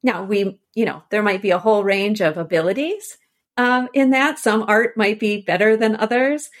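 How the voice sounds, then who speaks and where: A woman's voice: medium pitch, normal speed. Thoughtful woman scholar in conversation. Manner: thoughtful, explanatory, with trailing repeats of words. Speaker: guest, scholar and editor. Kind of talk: conversational podcast interview.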